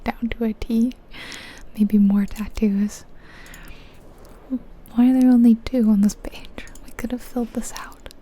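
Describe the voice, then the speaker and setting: A woman whispering breathily and gently close to the mic in an unhurried, chatty ASMR game commentary. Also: fairly high pitch, slight background noise, rough recording. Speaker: ASMR video game streamer.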